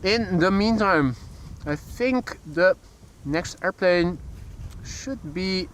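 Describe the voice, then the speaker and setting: A man's voice: high voice, lively intonation, slow pace, fairly bright tone, low-quality recording. A man with a Dutch accent hosting a live plane spotting stream outdoors, chatty and correcting himself as he goes, with dry little jokes. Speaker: plane spotting livestream host. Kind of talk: live commentary. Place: outdoors beside a field near a runway. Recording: faint background noise outdoors. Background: outdoor ambience, vehicles.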